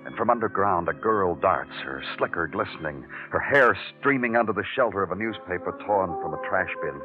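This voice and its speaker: An actor playing a world-weary, brooding police detective with a dramatic delivery in a 1950s radio noir drama. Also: male voice, low voice, expressive, fairly quick. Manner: brooding, dramatic, clipped in dialogue. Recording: phone-like old radio recording with music.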